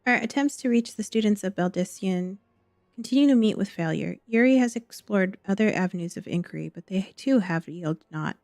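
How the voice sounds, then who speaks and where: A woman voicing a gracious, dutiful noblewoman in a fantasy game, reading formal archaic dialogue in a gentle, measured manner. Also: medium pitch, animated, no echo, clean studio sound, close to an everyday voice. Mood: solemn, gracious.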